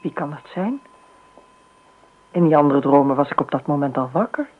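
A woman's voice: low voice, expressive, moderate pace. An actress playing a dreamy, eerily detached married woman in a radio play, often murmuring to herself. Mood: dreamy, musing. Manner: murmurs asides to herself, often near whispering. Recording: muffled phone-like old radio recording.

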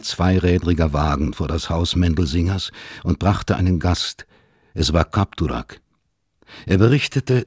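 A man with a husky voice reading scripted literary narration in a measured storytelling manner. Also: deep voice, a little mellow, no room sound, clean studio sound.

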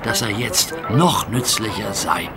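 A man narrating a scripted children's story in an expressive storytelling manner. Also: fairly low pitch, measured pace, over loud music.

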